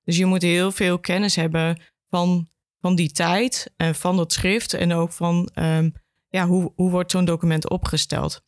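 A woman with a Netherlands Dutch accent explains conversationally as a podcast guest, thoughtful and halting, voicing imagined reactions. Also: fairly low pitch, little pitch movement, dry recording, studio quality. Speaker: education officer at a regional archive, interview guest.

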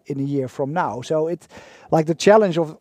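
Higher-voiced male podcast co-host. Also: mellow, dry recording. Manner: halting, lots of fillers and restarts. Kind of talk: conversational podcast chat.

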